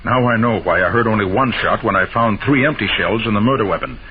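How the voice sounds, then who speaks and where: An actor playing a shrewd, confident master detective with an assured, emphatic delivery in an old-time radio drama. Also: male voice, on the low side, quick pace, natural voice. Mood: confident, explaining. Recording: noisy, muffled old radio broadcast.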